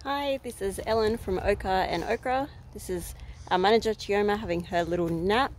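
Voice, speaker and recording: A woman in her thirties chats casually outdoors in a rural garden, recorded on a phone held at arm's length. She talks in a relaxed, unscripted way, stopping often with ums while she thinks aloud. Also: mid-range voice. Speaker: gardening vlogger.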